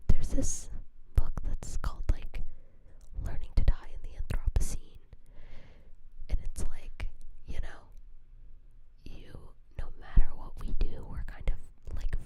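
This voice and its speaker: A young woman streamer chatting in a steady whisper, rambling and swearing freely as she mocks herself. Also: poor audio. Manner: whispering throughout. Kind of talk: whispered casual livestream chat.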